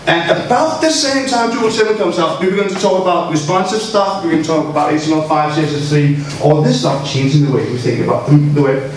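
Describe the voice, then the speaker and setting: A man with a Danish accent delivers an informal, joking keynote in a fairly high voice. He is irreverent and loose with his language. The recording is very echoey and distant, with faint noise behind him. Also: fast talker, few pauses. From Copenhagen, Denmark. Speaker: conference keynote speaker. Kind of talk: informal conference talk to an audience. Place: echoey indoor conference room.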